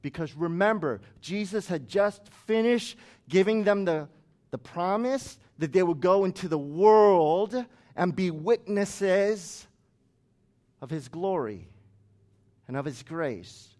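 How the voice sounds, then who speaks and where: Pastor preaching in a slightly high voice. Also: male voice, animated, slow pace, darkish tone. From United States. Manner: conversational preaching with chatty asides. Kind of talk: sermon.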